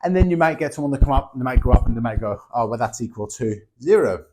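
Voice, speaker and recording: A man's voice: mid-range voice, fast, background noise. A man, a maths teacher casually and animatedly explaining a classroom method to other teachers, often checking in with "okay?". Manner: chatty, animated, tags lines with "okay?".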